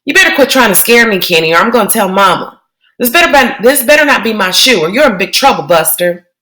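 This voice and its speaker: A woman's voice: mid-range voice, big pitch swings, quick pace, bright, forceful tone. A woman voicing a bossy young girl who whines and threatens to tattle. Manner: whiny, threatening to tell.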